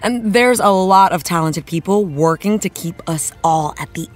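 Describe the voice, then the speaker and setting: A woman's voice: on the low side, moderate pace, soft background music, lightly acted. A woman playing a candid, playful actress being interviewed on a talk show, with a conversational, self-deprecating manner.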